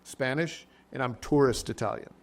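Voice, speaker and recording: A man's voice: medium pitch, normal speed. A middle-aged man hosting an interview in a chatty way, often repeating words as he starts a thought. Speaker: podcast host. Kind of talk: casual interview talk.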